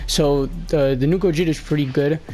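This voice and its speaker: Slightly hoarse young man rambling. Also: on the high side, steady tone, a little fast, dark tone. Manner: rambling, hesitant, many fillers. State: slightly sick, losing his voice. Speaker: gameplay commentator. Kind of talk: casual game walkthrough voice-over.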